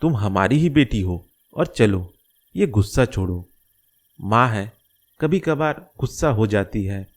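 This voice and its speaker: A man voicing a caring middle-aged father in a moral-story cartoon, gentle and explanatory as he reassures. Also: fairly low pitch, lively intonation, measured pace. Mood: reassuring.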